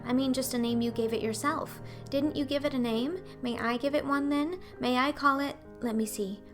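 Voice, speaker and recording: An earnest, talkative young orphan girl from a storybook, played by a woman, dreamy and wistful. Her voice sits higher, and she pleads with effusive, melodramatic feeling, over faint music. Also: moderate pace, lightly acted. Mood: eager, pleading.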